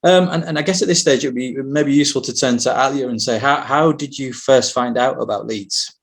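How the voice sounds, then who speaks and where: A middle-aged man with a gravelly, creaky edge to his mid-range voice, presenting a university webinar. He explains things in a measured, steady way. The sound comes through a video call microphone. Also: medium pitch.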